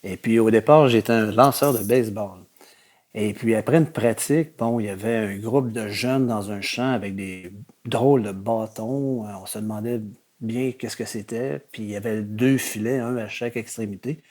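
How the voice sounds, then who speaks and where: An older man from Quebec City with a Québécois accent, answering interview questions in a relaxed way, with many fillers and phrases that trail off. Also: on the low side, normal speed. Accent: Québécois French. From Quebec City, Canada. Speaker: guest historian.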